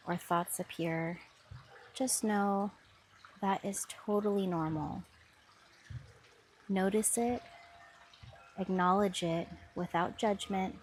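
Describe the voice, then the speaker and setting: A woman guiding a mindfulness body scan meditation with soft, soothing instructions. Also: lowish voice, slight background noise. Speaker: meditation guide. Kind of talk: guided meditation.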